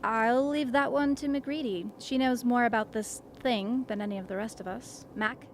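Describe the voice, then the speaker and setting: An actress as an authoritative expedition commander, composed and in charge. Her voice is slightly high, in radio play style, with faint noise behind. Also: moderate pace, lightly acted. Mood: measured. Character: composed, authoritative female commander of Antarctic survey. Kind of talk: radio drama acting.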